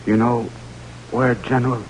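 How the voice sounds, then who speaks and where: An actor playing a weak, dazed American army lieutenant in an old radio drama, halting and weary. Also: male voice, mid-range voice, flat delivery, natural voice. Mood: dazed, pleading. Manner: halting, weary, repeating words. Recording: noisy, humming vintage radio recording.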